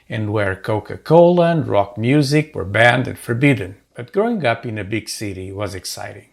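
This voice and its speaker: Man in his sixties, from Portugal, gravelly voice, mid-range pitch, earnest book narration. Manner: earnest, measured personal testimony.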